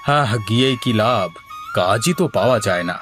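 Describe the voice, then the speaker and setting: A man's voice: medium pitch, lively intonation, a little fast, close to an everyday voice. A man voicing a poor, worried but affectionate middle-aged village farmhand, a husband and father, in a cartoon. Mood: worried, resigned. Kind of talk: cartoon voice acting. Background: plucked mandolin music.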